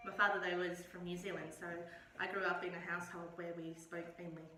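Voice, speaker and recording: A middle-aged woman speaks in a relaxed, unhurried way and often stops on little ums. Her voice sits slightly low but sounds bright. She is recorded from a distance in an echoey room with faint background noise. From Australia. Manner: relaxed, hesitant with frequent ums. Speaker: guest. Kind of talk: casual conversational interview.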